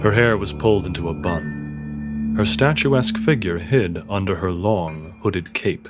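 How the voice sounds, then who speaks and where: A man's voice: deep voice, a little slow. A man reading fiction as an audiobook narrator, with a dramatic storytelling delivery. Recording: music under the voice. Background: music.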